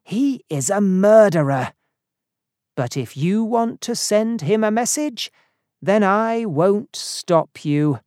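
A narrator voicing a stern, reluctant man in a storybook, speaking firmly and deliberately. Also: medium pitch, expressive, a little mellow, no echo, clean studio sound, pitched above the performer's own voice. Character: stern, reluctant man advising his friend.

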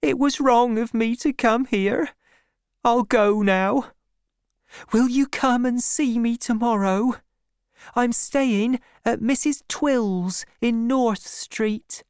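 A woman's voice: fairly high pitch, slow pace, darkish tone, clean tone, no echo, studio quality, voice pushed up. A woman reading a storybook as an anxious, lovesick young woman with a shaking, pleading delivery. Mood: sad, apologetic.

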